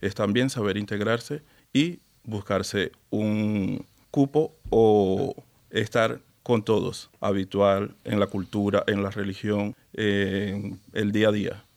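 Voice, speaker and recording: Sincere man from Venezuela, low voice. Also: steady tone, slow, vocal fry, a little mellow. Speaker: guest. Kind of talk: telling his personal story.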